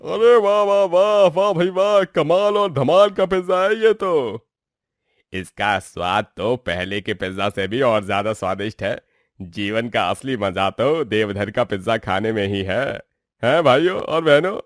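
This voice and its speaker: आदमी का निभाया जोशीला देहाती ग्राहक. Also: male voice, mid-range voice, expressive, a little slow, dry recording, clean studio sound, natural voice. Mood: delighted. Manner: exaggerated, declamatory. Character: exuberant male village pizza customer, showy praiser.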